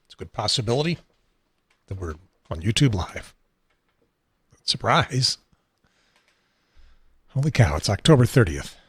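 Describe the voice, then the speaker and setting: Host, male, 70, American. Husky, somewhat low voice, dry close sound, halting unscripted delivery. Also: very animated, a bit bright, dry recording. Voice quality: husky, unsteady voice. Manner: rambling, halting, wry. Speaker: talk show host. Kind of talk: casual unscripted solo talk show.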